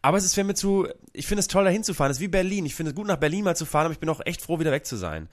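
A man's voice: on the high side, sing-song, rapid-fire, very noisy. A man co-hosting a radio show, telling stories with sarcastic jokes in casual banter. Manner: joking, sarcastic storyteller. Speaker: radio co-host.

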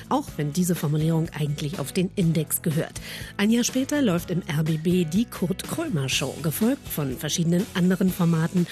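Low-voiced woman reading a profile. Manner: even, composed reading. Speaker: narrator of a produced profile. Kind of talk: scripted narration.

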